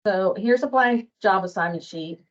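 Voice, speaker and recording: A woman with a mid-pitched voice gives an informal workplace walkthrough. She speaks hesitantly, like a presenter feeling her way through the steps. The sound is muffled and band-limited, as on an online meeting. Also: normal speed. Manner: hesitant, checks with colleague for confirmation. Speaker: training presenter.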